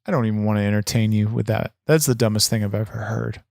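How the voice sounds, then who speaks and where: A man's voice: on the low side, steady tone, quick pace, creaky, a little mellow, no room sound, studio quality. A man playing a bitter, boastful wrestler persona on a comedy podcast, crude, swearing and easily irritated. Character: brash, bitter, foul-mouthed male wrestler persona. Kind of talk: improvised comedy podcast chat.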